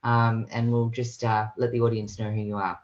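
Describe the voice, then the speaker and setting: A woman's voice: very deep voice, level tone, normal speed, a little mellow, dull audio. A woman hosting a casual video call podcast, rambling through a long introduction with many fillers. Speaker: podcast host. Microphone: video call mic.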